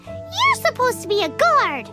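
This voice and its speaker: Tiny excitable game fairy, squeaky childlike voice, very high, swooping intonation, music behind. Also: female voice, measured pace, heavily acted. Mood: eager. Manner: excitable, exclaiming, refers to herself by name. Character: tiny excitable floating fairy companion girl. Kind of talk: video game character acting.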